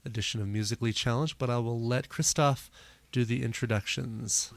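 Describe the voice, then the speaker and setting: A man hosting a music podcast in casual chat, his voice a little hoarse, talking with plenty of fillers. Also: mid-range voice, fairly even intonation, a little fast. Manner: rambling, many fillers. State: slightly hoarse, losing his voice. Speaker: podcast host.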